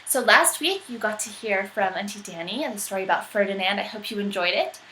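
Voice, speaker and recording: A woman's voice: medium pitch, lively intonation, a little fast, pushed and bright, echoey room, a little hiss or hum, poor audio. A woman who reads storybooks to children, greeting her young listeners directly in simple, friendly phrases.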